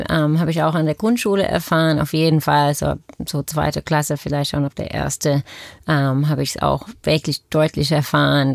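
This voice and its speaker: A woman's voice: low voice, gravelly, darkish tone, no room sound, clean studio sound. A woman from Australia, a teacher, speaking German with an Australian accent, hesitant and full of fillers. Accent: Australian English. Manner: hesitant, many fillers, non-native grammar. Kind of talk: casual talk show chat.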